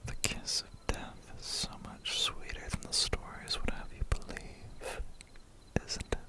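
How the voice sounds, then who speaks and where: A man's voice: measured pace, bright, forceful tone. A man playing a seductive, predatory vampire biker in an ASMR roleplay, intimate, teasing and theatrical. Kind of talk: whispered ASMR roleplay acting.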